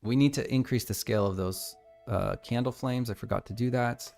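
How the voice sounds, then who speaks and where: A man's voice: medium pitch, fairly quick, gravelly, darkish tone, faint music behind. An American man streaming his game development live, thinking aloud and reading lines of code as he types. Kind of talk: casual live coding stream.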